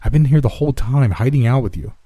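A man voicing a gruff, defiant male companion in hiding in a horror story. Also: mid-range voice, quick pace, dark tone, dry recording, voice pushed up, natural voice.

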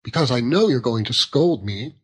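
A man's voice: medium pitch, animated, normal speed, husky, pitched above the performer's own voice. A man voicing a childish, plaintive young Victorian wife who pouts and wheedles in a storybook reading. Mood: hurt, pouting. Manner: pouting, plaintive.

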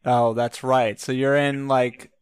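A man's voice: medium pitch, little pitch movement, slow pace, clean tone, dry recording, clean studio sound. A man hosting a casual trivia podcast, upbeat and joking as he questions callers. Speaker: podcast host.

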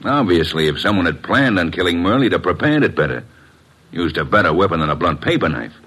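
Brief: gruff inspector, very low and rough, businesslike, old-time radio crime drama. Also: male voice, very animated, fast, a bit bright, full character voice. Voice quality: husky. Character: gruff, impatient male police inspector. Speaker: actor playing a police inspector. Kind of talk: classic American radio drama acting.

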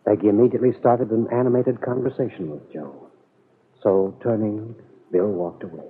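A man with a husky voice giving dramatic scripted narration for a 1940s radio serial. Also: fairly low pitch, normal speed, slight background noise. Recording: muffled old radio broadcast.

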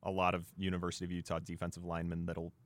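A man's voice: low voice, completely flat delivery, rapid-fire. A man co-hosting sports talk, giving conversational analysis in a hesitant, filler-heavy way. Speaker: sports show co-host.

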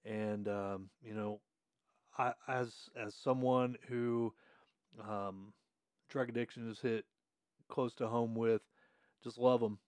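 Middle-aged male host, mid-pitched, friendly and easygoing, dry studio sound. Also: steady tone, slow pace, pauses often, a little mellow, clean tone, dry recording. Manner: friendly, easygoing, laughs often. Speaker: podcast host. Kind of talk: casual podcast chat. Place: podcast room.

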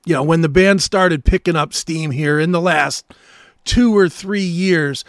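A man's voice: on the high side, unhurried, no room sound, studio quality. A man hosting a talk show, asking questions in a casual, conversational style.

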